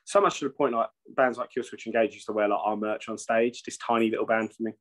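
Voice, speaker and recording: Low-voiced man rambling on a video call. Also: fairly even intonation, fast talker. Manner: rambling, swears freely, many restarts. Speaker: metal band singer and bassist, guest. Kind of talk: casual interview over video call. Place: home room with shelves. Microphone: video call webcam.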